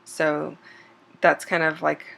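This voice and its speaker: A slightly hoarse woman hosting a scrapbooking channel, chatting casually over a craft process video with plenty of ums and self-corrections. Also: low voice, takes it slowly, poor audio. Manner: relaxed and chatty, with frequent um fillers and self-corrections. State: slightly hoarse, losing her voice.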